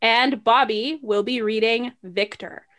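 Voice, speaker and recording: A woman's voice: a little high, fairly even intonation, slow pace, fairly bright tone. A woman hosting a podcast, delivering scripted narration as the narrator. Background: music.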